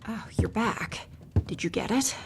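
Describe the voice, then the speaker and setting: A woman playing a concerned, practical ally in a fantasy audio roleplay, with a breathy voice. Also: on the high side, lively intonation, a little slow, whispered.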